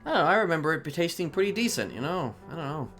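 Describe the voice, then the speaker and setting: A man playing a conflicted prison inmate trying to reform, improvising in a halting, stammering way. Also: a little high, very animated, a bit bright, soft background music. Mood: amused, rambling. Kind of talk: improvised online roleplay.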